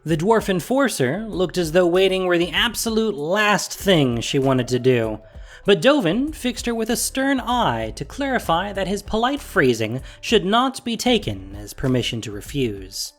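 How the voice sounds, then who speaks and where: A narrator reading a scripted story in an expressive, deliberate storytelling manner. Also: medium pitch. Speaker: narrator and producer. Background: music.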